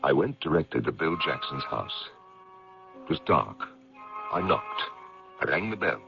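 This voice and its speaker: Protective gentleman, gravelly, very animated intonation, indignant, emphatic, vintage radio drama. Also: rumbling low, a little slow, over music, exaggerated voice. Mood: grave narrating. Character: protective, indignant gentleman magazine editor in a mystery. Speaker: actor and narrator in a radio mystery. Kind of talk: radio drama acting, dramatic dialogue and first-person narration. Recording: dull, lo-fi vintage radio recording.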